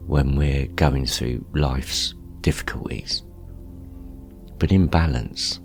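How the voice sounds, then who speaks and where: Really deep slow guy doing a guided meditation, soft calm manner, pauses a lot. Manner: calm, gentle, unhurried with pauses. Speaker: meditation guide, show host. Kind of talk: guided meditation, gently spoken instruction. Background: music.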